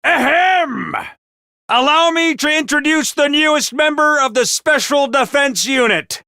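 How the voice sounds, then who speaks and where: A man voicing a peppy, bossy little mascot commanding officer in a video game, with a high cartoonish voice and theatrical, jaunty drill-sergeant delivery. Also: animated, unhurried, vocal fry, bright, forceful tone, no echo, clean studio sound, exaggerated voice. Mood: gleeful, rousing. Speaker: voice actor for a video game character. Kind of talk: animated video game voice acting.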